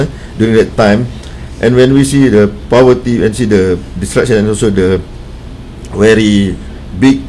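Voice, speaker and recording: A man from Malaysia, president of a charity, answering a radio interview in halting English with many fillers. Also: mid-range voice, dark tone, a little hiss or hum, low-quality recording. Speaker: guest, NGO president.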